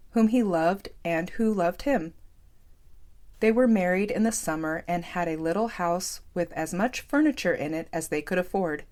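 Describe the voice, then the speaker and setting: A woman's voice: on the low side, darkish tone. A woman reading a scripted story aloud as an audiobook narrator.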